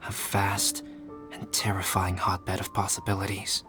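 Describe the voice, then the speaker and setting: A man playing a calm, scholarly young alchemist in a video game, speaking formally and with measured care, with music behind him. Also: sits low. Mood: composed, intrigued.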